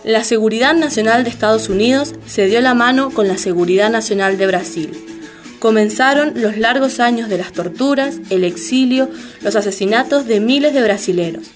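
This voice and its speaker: A woman's voice: on the high side, moderate pace, over music. A woman narrating a radio drama in a scripted documentary style.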